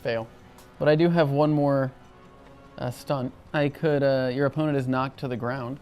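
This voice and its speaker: A woman's voice: very deep voice, fairly even intonation, normal speed, warm tone. A woman playing a tabletop role-playing game with casual, joking table talk. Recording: music behind the voice. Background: music.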